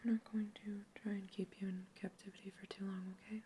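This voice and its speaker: A woman voicing a curious female alien scientist who is examining a captive human. She whispers softly throughout the ASMR roleplay and asks clinical, matter-of-fact questions. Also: mid-range voice, little pitch movement, fast talker, slight background noise, natural voice. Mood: calm curious. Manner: soft unvoiced whispering throughout.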